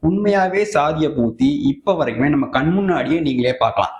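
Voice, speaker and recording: Tamil nadu guy doing scornful political commentary, slightly high voice, combative and colloquial, roomy echo. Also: expressive, fairly quick, lo-fi sound. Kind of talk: opinionated political commentary to viewers.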